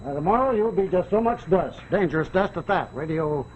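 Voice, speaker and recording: A man's voice: high voice, lively intonation, brisk, muffled recording. An actor playing a wistful, musing man on an inspection in a 1940s television suspense drama. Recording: noisy old 1940s television soundtrack.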